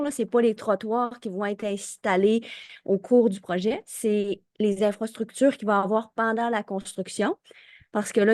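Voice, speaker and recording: A woman's voice: mid-range voice, darkish tone, no echo, studio quality. A woman resident speaking on a video call at a public meeting, chatty and insistent about safety. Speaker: resident asking a question.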